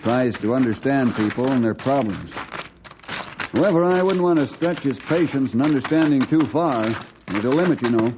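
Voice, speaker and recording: An actor playing a calm, commanding ranger leader with a measured delivery in an old-time radio drama. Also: male voice, medium pitch, close to an everyday voice. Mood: steady, resolute. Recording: dull, noisy old radio recording.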